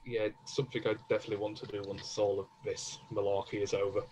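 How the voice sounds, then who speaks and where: A man's voice: fairly low pitch, flat delivery, fast talker, darkish tone. A man hosting a casual livestream, speaking effusively as he gives thanks. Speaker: stream host. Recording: noisy background on webcam stream.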